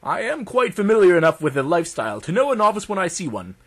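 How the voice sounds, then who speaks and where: A man voicing a stuffy, pompous Edwardian gentleman adventurer narrating a pulp audiobook, formal and theatrical in manner. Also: sits high, big pitch swings, quick pace, slightly rough, heavily acted. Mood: indignant reminiscing.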